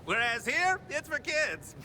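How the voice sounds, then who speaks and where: An actor playing a wisecracking, theatrical clown supervillain in a video game, mocking and sardonic, swinging from mutters to shrieks. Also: male voice, very high voice, wildly swooping pitch, slow pace, pushed and bright, a little hiss or hum, heavily acted. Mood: mocking, manic. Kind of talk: video game villain acting.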